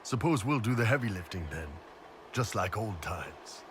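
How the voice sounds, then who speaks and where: A man playing a huge horned warrior in a video game, a gruff and blunt mercenary captain giving orders. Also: on the low side, sing-song, normal speed, noisy background. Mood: wry. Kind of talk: video game cutscene acting.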